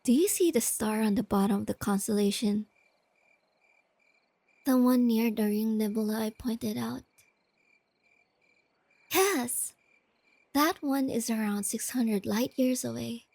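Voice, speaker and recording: A woman playing a shy, nerdy young girlfriend in a soft-spoken ASMR roleplay, sweet and earnest, explaining things gently and without hurry. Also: a little high, measured pace, creaky, pauses often, no echo. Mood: gentle, informative.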